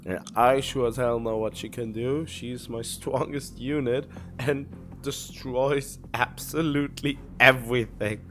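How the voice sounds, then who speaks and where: A man streaming a game, commenting casually and haltingly as he thinks out loud. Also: mid-range voice, fairly even intonation. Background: game music.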